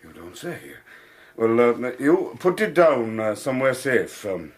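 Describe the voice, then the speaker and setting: A man's voice: mid-range voice, sing-song, measured pace. A man acting a smug, blustering British Victorian police inspector in a radio drama, pompous and full of hesitant hedging. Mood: flustered bluster.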